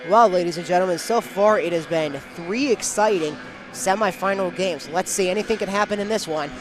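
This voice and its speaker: A man's voice: sits high, a little fast, over music, rough recording. A man working as a play-by-play sportscaster, calling live game action in short, clipped phrases.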